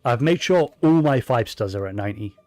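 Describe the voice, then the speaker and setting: A man's voice: mid-range voice, expressive, a little fast, a little mellow, no echo. A man giving casual, rambling commentary while playing a video game, with plenty of fillers and restarts. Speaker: gaming streamer.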